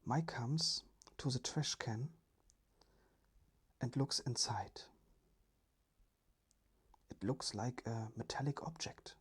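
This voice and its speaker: A storyteller reading a children's story in a hushed, careful ASMR whisper. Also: mid-range voice, takes it slowly, long pauses, husky.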